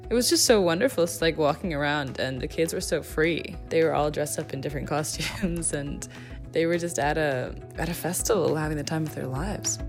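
A woman who fronts a punk band, talking warmly in an interview and laughing now and then. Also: low voice, a little fast, faint music behind. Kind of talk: casual interview.

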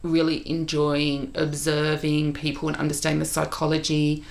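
A woman's voice: deep voice, monotone, normal speed, vocal fry. A middle-aged woman co-hosting a podcast, chatting casually with a friend in a study at home. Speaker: podcast co-host. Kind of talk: casual chat between friends.

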